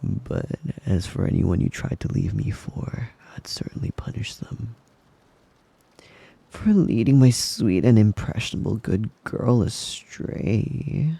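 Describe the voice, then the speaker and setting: A man playing a possessive, doting yandere wolf boy in an intimate ASMR roleplay, slow and soft, cooing praise and often dropping into a whisper at a close mic. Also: lowish voice, lively intonation, gravelly, husky, dry recording, heavily acted. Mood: possessive menace.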